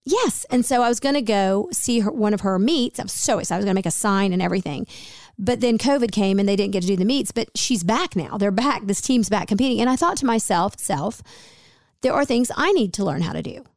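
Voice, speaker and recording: A raspy-voiced woman in her forties, co-hosting a podcast in a chatty, rambling way full of fillers. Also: medium pitch, animated, a little fast, darkish tone, no room sound, clean studio sound. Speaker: podcast co-host. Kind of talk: casual podcast chat.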